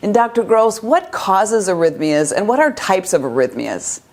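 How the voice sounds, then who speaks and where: Woman doing tv health interview, reads her questions, really animated up and down delivery. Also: mid-range voice, normal speed.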